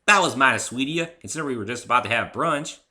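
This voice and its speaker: A man's voice: medium pitch, lively intonation, rapid-fire, sharp tone, pitched above the performer's own voice. A man voicing a friendly young female farm pony with a folksy US Southern drawl in a story reading. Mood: kindly. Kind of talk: dramatic fan fiction reading.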